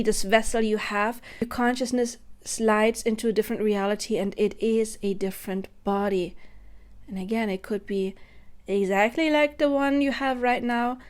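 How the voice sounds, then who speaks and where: A woman in her thirties talks to camera in a loose, spontaneous monologue. She is earnest and rambling, working out her thoughts as she speaks, in a voice pitched slightly above average. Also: darkish tone. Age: 30s. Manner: earnest, rambling, thinks aloud. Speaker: spiritual vlogger.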